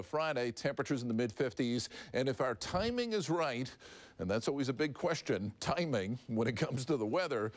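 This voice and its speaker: A man's voice: medium pitch, dry recording. A man presenting a TV weather forecast in an unscripted, folksy, explanatory way. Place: dry television studio.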